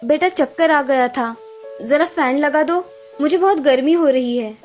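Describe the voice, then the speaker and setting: A woman's voice: sits high, a little slow, soft background music. A woman playing a put-upon village wife and mother in a children's moral story cartoon. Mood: weary.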